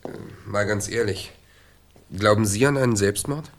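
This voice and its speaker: A man's voice: fairly low pitch, normal speed, lightly acted. An actor playing an observant, skeptical police assistant who reports matter-of-factly to his chief in a 1970s German radio play. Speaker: actor in a radio crime drama.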